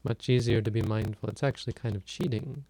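Mellow-voiced man teaching meditation. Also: lowish voice, steady tone. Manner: plainspoken, unhurried, loosely conversational. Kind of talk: relaxed dharma talk and Q&A livestream.

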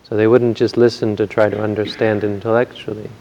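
A man giving a Buddhist Dhamma talk in a very quiet voice, gentle and hesitant, with frequent pauses and restarts. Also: fairly low pitch, level tone, slight background noise. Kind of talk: soft-spoken Dhamma talk.

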